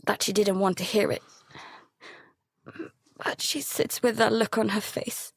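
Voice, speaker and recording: A woman playing a frightened, guilt-ridden youngster who narrates and speaks in an audio drama, halting and stammering. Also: mid-range voice, steady tone, gravelly, slightly rough, dry recording, clean studio sound. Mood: anxious, guilty. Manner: halting, stammering, breaking into whispers. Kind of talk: first-person audio drama narration with dialogue.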